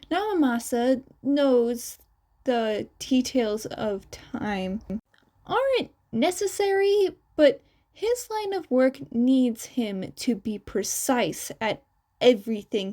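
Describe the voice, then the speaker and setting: A woman's voice: a little high, warm tone. A woman narrating a story aloud, reading scripted fiction. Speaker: podfic narrator.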